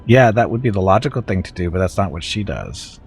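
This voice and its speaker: Low-voiced man streaming with a head cold. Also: lively intonation, a little fast, faint music behind. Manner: chatty, wry, talks to viewers in chat. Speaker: streamer playing a video game. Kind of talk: casual livestream chat and reading game text aloud.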